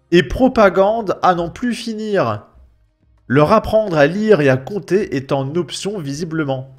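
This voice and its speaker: A man with a French accent and a fairly high voice reacts to a video in loose, colloquial speech. He is mocking and emphatic as he comments. Music plays softly behind him. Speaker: host of a reaction video channel. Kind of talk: casual spoken commentary on a video. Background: background music.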